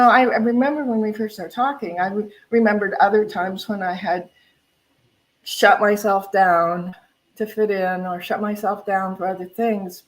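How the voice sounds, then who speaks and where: A woman's voice: medium pitch, poor audio. Older woman sharing candid personal reflections. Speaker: minister. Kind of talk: personal reflection in spiritual talk.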